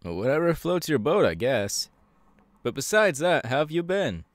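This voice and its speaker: Upbeat young male hero played by a man for anime audio roleplay: animated pitch swings, casual hesitant delivery, dry close sound. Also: normal speed, dry recording. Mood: friendly, chatty. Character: upbeat, easygoing young male superhero with electric powers. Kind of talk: audio roleplay, chatty anime character acting.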